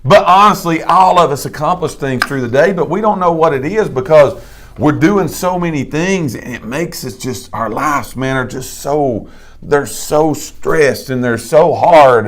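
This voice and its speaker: A man, co-hosting a casual live talk show with folksy, emphatic delivery. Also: mid-range voice. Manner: animated, folksy preacher-like emphasis. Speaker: co-host.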